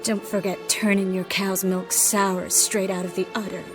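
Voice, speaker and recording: An actress playing a resolute young sorceress in a video game, wry and weary, with a measured, breathy delivery. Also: female voice, medium pitch, little pitch movement, over music, close to an everyday voice. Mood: defiant.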